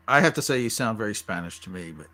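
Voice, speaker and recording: A man hosting an interview, friendly and animated, laughing easily as he chats with his guest. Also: lowish voice, quick pace, a crowd in the background. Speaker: interview host. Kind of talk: casual interview chat.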